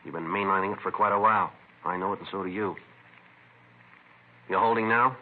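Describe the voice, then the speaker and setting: Man playing a low, clipped radio police detective. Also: fairly even intonation, brisk, natural voice. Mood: pressing. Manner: terse, matter-of-fact, authoritative. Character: stern, clipped, no-nonsense male police detective. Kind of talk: 1950s radio drama acting and narration. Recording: muffled, noisy vintage radio recording.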